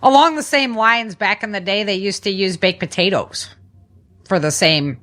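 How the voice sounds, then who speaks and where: A woman with a bright, forward voice talks as a podcast co-host. She explains practical tips in an informal, chatty way, like casual conversation between friends. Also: mid-range voice, moderate pace.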